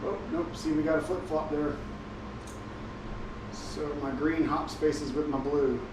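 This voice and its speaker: A man with a slightly high voice narrates a hands-on install job in a casual way. He talks through each step informally as he works. The sound is roomy and echoey, with clear noise in the background. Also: fairly even intonation, a little slow. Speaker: DIY vlog host and installer.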